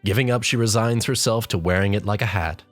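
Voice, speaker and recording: A storyteller reads scripted comic narration in a medium-pitched voice. The delivery is wry and exasperated. Soft music runs underneath. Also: fast, no room sound. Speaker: fan fiction narrator. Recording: music behind the voice.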